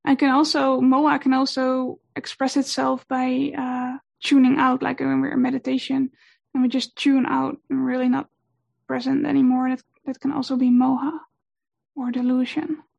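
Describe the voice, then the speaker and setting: Soft-spoken Dutch woman teaching meditation. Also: high voice, little pitch movement, gravelly. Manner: gentle, unhurried, with many fillers. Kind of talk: dharma talk on meditation.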